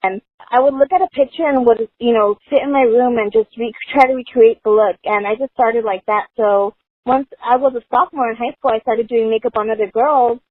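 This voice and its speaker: Woman, guest from the Los Angeles area, chatty, says "you know" a lot, fairly high voice, tinny audio. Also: a little fast, dry recording. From Los Angeles area, United States. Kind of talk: casual conversation. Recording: dry, phone-like narrow sound.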